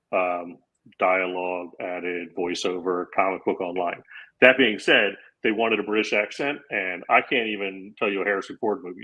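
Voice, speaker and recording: A man in his forties, a comedian guest on a podcast, telling a long anecdote in a casual, animated way and acting out the lines of the people in it. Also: sits low, lo-fi sound. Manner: animated anecdote-teller, acts out quoted lines. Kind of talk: casual storytelling.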